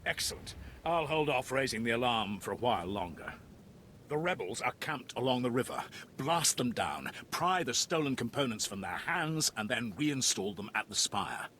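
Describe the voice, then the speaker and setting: An actor playing an urgent Imperial sergeant in a video game, briefing in a forceful, commanding way. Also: male voice, medium pitch, expressive, normal speed, a little hiss or hum. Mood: grimly vengeful. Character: urgent Imperial military sergeant rallying against rebels. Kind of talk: video game quest dialogue.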